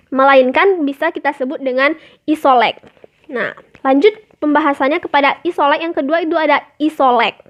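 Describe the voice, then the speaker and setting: A young woman, a university student, explains a course topic in a presentation, often filling pauses with "nah" and "eh". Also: high voice. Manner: explanatory, many "nah" and "eh" fillers. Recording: dull lo-fi sound.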